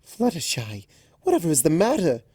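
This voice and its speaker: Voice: medium pitch, huge pitch swings, moderate pace, dark tone, breathy edge, no echo, voice pushed up. A reader voicing a refined female pony with a posh, fussy and coaxing manner. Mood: concerned coaxing.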